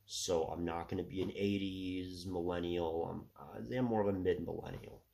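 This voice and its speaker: A man in his thirties talking through a rambling casual monologue with a stuffy nose, filling every pause with "you know" and "right". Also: deep voice, steady tone, creaky, warm tone, slight background noise. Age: 30s. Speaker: solo commentator.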